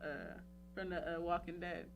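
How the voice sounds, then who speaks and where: A woman hosting a casual radio talk show, chatting in a playful, animated, slangy and emphatic way. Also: lowish voice, moderate pace, over music, rough recording. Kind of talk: casual chat.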